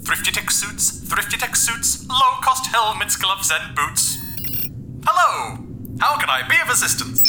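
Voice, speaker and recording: A man playing the chirpy, oblivious computer of a cheap spacesuit, pitching like a relentless salesman in a sci-fi audio drama. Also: big pitch swings, a little slow, over music. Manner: relentlessly upbeat advertising patter.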